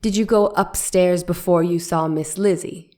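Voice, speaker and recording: A woman's voice: fairly low pitch, steady tone, moderate pace, darkish tone, close to an everyday voice. Woman playing a probing 1890s courtroom attorney. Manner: pressing, leading questions. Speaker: cross-examining lawyer. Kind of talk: dramatic reading of a trial transcript.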